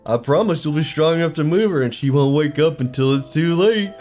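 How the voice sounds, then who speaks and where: A man's voice: on the high side, expressive, a little fast, over music, lightly acted. A man playing a sinister, coaxing TV clown who talks to children in a horror audio drama. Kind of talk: kids' show parody in audio horror.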